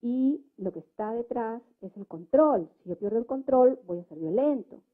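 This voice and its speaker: A woman's voice: a little high, animated, moderate pace. A woman giving explanatory remarks in a public debate, methodical but searching for words as she goes. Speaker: debate participant. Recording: muffled narrow phone-like sound.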